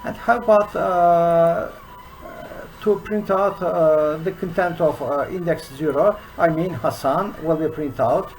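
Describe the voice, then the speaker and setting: A man in his sixties with a Turkish accent, teaching a programming lesson from his desk in a slow, halting way full of "uh" fillers. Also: a little high, low-quality recording. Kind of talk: screen-recorded coding lesson. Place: small room indoors.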